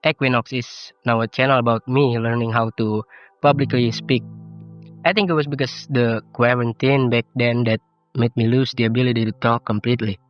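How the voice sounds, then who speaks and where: Teenage male vlogger, Indonesian accent, non-native English, reflective and self-deprecating, slightly lo-fi voice-over with clear music underneath. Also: medium pitch, fairly even intonation, moderate pace. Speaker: YouTuber. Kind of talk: personal vlog narration. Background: game soundtrack music.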